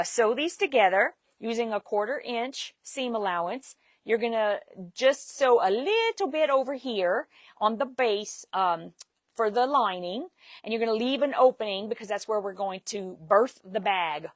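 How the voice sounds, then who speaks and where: A woman's voice: a little high, no room sound. A woman leading a live sewing demonstration, chatting and laughing while she works. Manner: chatty, laughs easily, talks while working. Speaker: sewing instructor.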